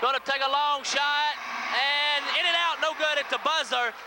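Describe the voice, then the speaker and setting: A man doing live radio play-by-play of a high school basketball game in short, clipped phrases. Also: fairly even intonation, normal speed. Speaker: radio play-by-play sports announcer. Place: school gym during a game. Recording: noisy 1980s local radio audio from VHS. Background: loud gym crowd and noise.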